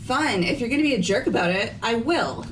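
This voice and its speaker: A woman's voice: a little high, animated, brisk, a bit bright. A woman voicing a blunt, accusing, headstrong teenage girl in a fan-voiced visual novel. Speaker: voice actor in fan game playthrough. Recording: music under the voice.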